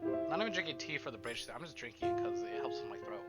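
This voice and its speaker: A man's voice: medium pitch, animated, racing, music in the background. A man livestreaming a game, chatting casually in slang and swearing freely. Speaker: game streamer and host.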